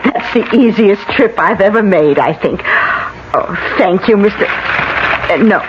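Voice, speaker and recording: An actress in a 1970s radio drama playing a genteel, kindly older widow who chatters in a fussy, rambling way. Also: female voice, medium pitch, animated, slow pace. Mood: chatty, grateful. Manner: fluttery, rambling, solicitous. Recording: noisy, muffled vintage radio broadcast.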